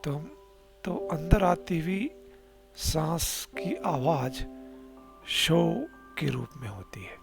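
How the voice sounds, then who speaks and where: Male meditation guide, Indian accent: extremely unhurried delivery, heavy creak in the voice, calm and soft, over clearly audible music. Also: a little high. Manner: slow, pausing, calm guidance with soft delivery. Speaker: meditation teacher. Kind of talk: guided meditation instruction, alternating Hindi and English.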